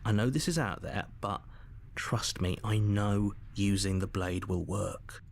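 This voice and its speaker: An actor playing a controlling, exasperated narrator of a dark storybook game, theatrical, insistent and dryly sardonic. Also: male voice, lowish voice, measured pace, creaky. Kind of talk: dramatic scripted game narration. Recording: loud music under the voice. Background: game music.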